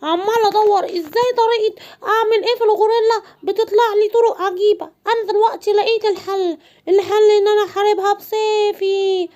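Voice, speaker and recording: Cartoon parrot, played by a woman: very high, shrill, fast, self-important little know-it-all. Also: fairly even intonation, a little mellow. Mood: boastful, determined. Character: excitable boastful male parrot, self-styled problem solver. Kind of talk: children's cartoon story.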